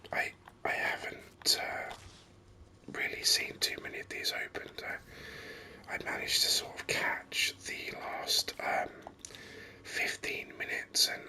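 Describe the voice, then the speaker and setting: A gaming host whispering ASMR commentary very close to the microphone in a rambling, hesitant way. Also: sharp tone. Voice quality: breathy unvoiced whisper. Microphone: microphone very close to the mouth. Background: voice-over on game screen capture.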